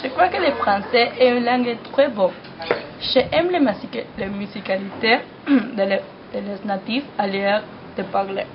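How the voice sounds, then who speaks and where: A woman's voice: mid-range voice, unhurried, crowd noise. A teenage woman from Argentina, a Spanish speaker, giving a prepared account as a student of French. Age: teens. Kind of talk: prepared personal testimony in French as a learner. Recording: muffled recording with clear noise.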